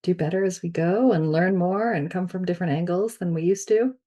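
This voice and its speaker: A woman co-hosts a podcast and mostly chimes in with short affirming replies. Her voice sits somewhat low for a woman, and she speaks casually. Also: a little fast, dark tone. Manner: brief affirming interjections. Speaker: podcast co-host. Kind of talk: casual conversation.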